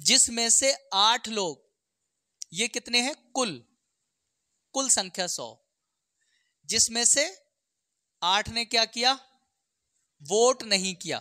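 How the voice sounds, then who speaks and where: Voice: mid-range voice, lively intonation, takes it slowly, long pauses, sharp tone, no echo, clean studio sound. A maths teacher explains exam problems step by step, often checking in with short questions.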